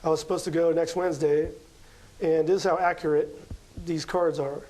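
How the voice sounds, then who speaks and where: Male interview guest, American, fairly high and very mellow voice, casual explainer. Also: little pitch movement, a little fast, poor audio. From Las Vegas, United States. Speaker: guest astrology card reader.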